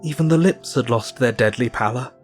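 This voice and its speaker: An actor with an English accent playing an earnest, grieving Victorian doctor, narrating his diary in measured, formal speech for an audio drama. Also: medium pitch, soft background music, natural voice. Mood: solemn, grieving.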